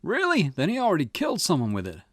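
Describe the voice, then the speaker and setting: An eager junior detective from a visual novel , acted out by a man, earnest and full of questions. The voice is lively in pitch and deferential, recorded close and dry. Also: fast talker, dry recording, full character voice. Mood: surprised, urgent. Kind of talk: reading visual novel dialogue in character.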